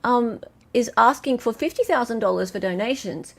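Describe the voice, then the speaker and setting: An Australian woman hosting a casual live-stream chat, excitable and talkative, reading out viewers' comments. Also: on the high side, normal speed, creaky. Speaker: livestream host.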